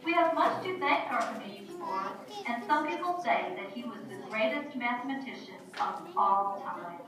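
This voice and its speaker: A woman's voice: on the high side, expressive, moderate pace, crowd noise. A woman narrator reading a scripted story for a children's puppet show. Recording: very echoey room, distant mic, faint noise.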